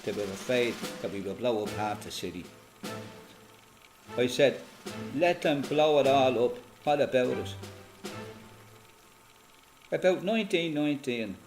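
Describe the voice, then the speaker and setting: An elderly Irish former freedom fighter, played by a man, tells his memories in a radio play. He is plain-spoken and quietly proud, talking like a storyteller at ease. Music plays clearly behind him. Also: medium pitch, expressive, normal speed, pauses often, natural voice. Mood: reminiscing, animated. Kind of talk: radio play monologue, reminiscing as recorded testimony.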